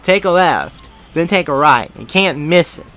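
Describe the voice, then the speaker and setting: A breezy, casual passer-by from a story reading, acted out by a man. The voice is pitched up, bright and emphatic, with faint music behind it. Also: sing-song, measured pace. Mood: breezy, helpful. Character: casual passers-by giving muddled, breezy street directions.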